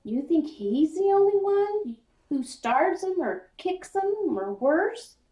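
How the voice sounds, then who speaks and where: A woman's voice: extremely high pitch, sing-song, slow, warm tone, voice pushed up, full character voice. A woman voicing a stern, plain-spoken father who lectures his son in folksy rural phrasing, for a children's story. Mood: sharp, heated. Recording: roomy echo, distant mic.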